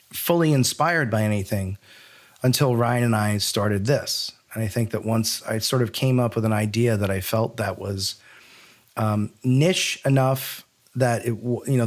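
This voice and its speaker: A man hosting a podcast in a conversational interview style, reflective and rambling as he thinks aloud. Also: mid-range voice. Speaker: podcast co-host.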